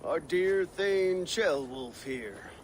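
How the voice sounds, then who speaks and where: An actor playing a stern, unyielding Viking war leader in a video game, firm and dry. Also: male voice, fairly high pitch, animated, extremely slow, slight background noise. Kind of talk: video game cutscene acting.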